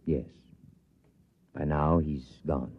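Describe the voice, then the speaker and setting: An actor playing a grave, protective middle-aged professor and father in an old radio drama, speaking in a measured, firm way. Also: a bass voice, fairly even intonation, husky, full character voice. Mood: concerned. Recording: muffled vintage radio recording.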